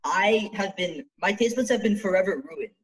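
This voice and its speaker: Brief: young man, podcast co-host, rambly and defensive, lots of "like", slightly high voice. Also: lively intonation, normal speed, rough recording. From Canada. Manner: rambling, filler-heavy, defensive. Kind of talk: casual podcast chat.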